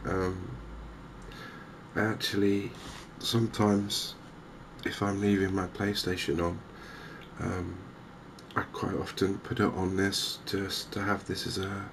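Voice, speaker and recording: A man giving softly spoken, gentle ASMR game commentary in an unhurried, hesitant way. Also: low voice, level tone, creaky, noisy background. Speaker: host of a cozy gaming channel.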